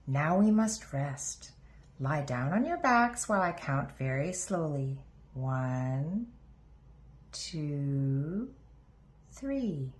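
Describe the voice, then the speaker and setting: Woman playing a friendly female storybook rabbit, calm yoga instructor, lowish pitch, gentle guidance. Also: very slow, exaggerated voice. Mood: slow, soothing.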